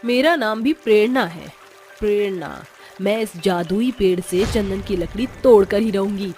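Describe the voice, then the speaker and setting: A woman's voice: mid-range voice, unhurried, a little mellow, faint music behind, lightly acted. A woman playing a greedy, suspicious daughter-in-law in a dramatized moral story, speaking in an emphatic, scheming way.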